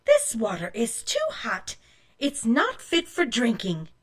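Woman playing a loud, bossy storybook mother. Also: fairly high pitch, wildly swooping pitch, slow pace, exaggerated voice. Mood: scornful. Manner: sharp, commanding, loud. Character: harsh, bossy mother ordering her daughter about.

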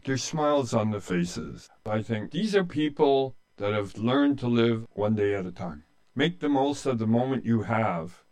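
Low-voiced Canadian man in his late sixties. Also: a little mellow. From Canada, rural eastern Ontario. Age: late 60s. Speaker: interviewee, humanitarian aid volunteer. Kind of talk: reflective personal storytelling in an interview.

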